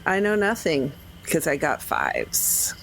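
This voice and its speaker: A man's voice: on the high side, wildly swooping pitch, slow, vocal fry, fairly bright tone, slight background noise, poor audio. A man playing a tabletop game, talking casually about his character.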